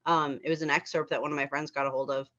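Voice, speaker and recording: A woman's voice: deep voice, little pitch movement, fast, fairly bright tone, muffled recording. A woman in a casual group discussion of a script, rambling and often repeating and rephrasing herself. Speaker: table read participant.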